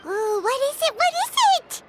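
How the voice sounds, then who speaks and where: A woman's voice: very high voice, expressive, normal speed. A woman voicing a small, cheerful, eager fairy companion girl in a game, who talks about herself by name. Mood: excited. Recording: game audio with music underneath.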